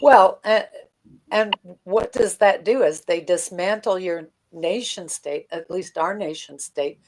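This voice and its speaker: An older American woman as a guest commentator, talking politics conversationally in a halting, blunt manner. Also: fairly low pitch, background noise.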